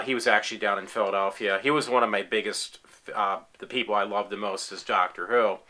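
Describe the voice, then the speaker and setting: Man, late forties, bright-toned, rambling fan talking casually from his room at home. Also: mid-range voice. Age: 40s. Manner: rambling, many fillers and restarts; enthusiastic fan. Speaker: applicant in a tryout video. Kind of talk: casual self-recorded talk.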